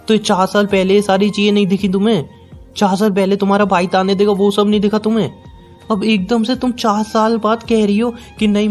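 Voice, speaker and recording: Actor as a high-pitched, exasperated young boyfriend. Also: male voice, darkish tone, natural voice. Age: young adult. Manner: repetitive, pressing, exasperated. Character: young boyfriend, hurt, incredulous, pleading against a breakup. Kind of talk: acted phone-call drama in conversational Hindi with some English.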